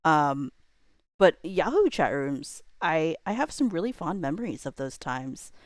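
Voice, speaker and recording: A woman with a low voice and a very dry, close sound, talking like a livestreamer to her viewers. She rambles informally, full of slang, and pokes fun at herself as she goes. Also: big pitch swings, measured pace, dry recording. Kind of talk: casual livestream chat.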